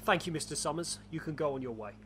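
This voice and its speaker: A man's voice: a little high, fast talker, fairly bright tone, slight background noise, close to an everyday voice. A man playing a courteous, official police officer in an audio drama. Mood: polite, firm.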